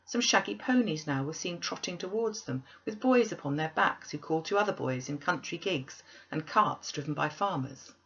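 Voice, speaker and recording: A woman narrating a classic tale as a storyteller, with expressive, deliberate, dramatic phrasing. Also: deep voice, breathy edge, highs cut off.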